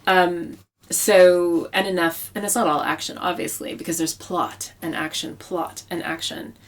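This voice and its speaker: Female author in conversation, slightly low register, explains writing craft with emphatic, repeated phrasing. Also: steady tone, a little slow. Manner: explanatory, with emphatic repetition for effect. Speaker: novelist being interviewed.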